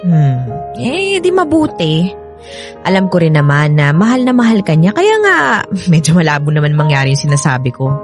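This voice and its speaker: Lively, frank Filipina cousin. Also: female voice, low voice, moderate pace, soft background music. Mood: frank, cautioning. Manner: animated, frank, chatty. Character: partner's chatty female cousin, frank, protective, friendly. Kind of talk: Filipino radio drama acting.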